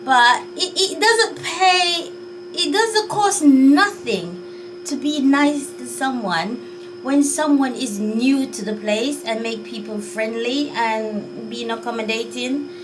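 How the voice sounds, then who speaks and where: A woman's voice: high-pitched, takes it slowly, far from the mic, noisy background. A Jamaican woman vlogging in a chatty, unsure way, sighing as she thinks things over. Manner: chatty, musing and unsure, with sighs. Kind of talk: casual talk to camera.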